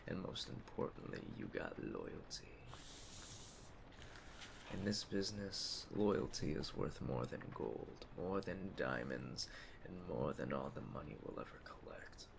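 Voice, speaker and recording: Man playing a deep, gravelly mafia don. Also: heavily acted. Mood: approving, solemn. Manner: measured, authoritative, streetwise. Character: calm, commanding aging mafia boss giving orders. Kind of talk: ASMR roleplay. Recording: clear ambient storm noise behind. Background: storm ambience.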